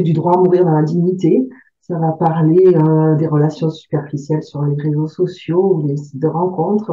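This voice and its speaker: A woman's voice: on the low side, dark tone. A woman author from southern France answering interview questions, hesitant, with many fillers and repeated words. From Aveyron, southern France. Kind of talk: interview answers about her book. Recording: distant, roomy, dull sound.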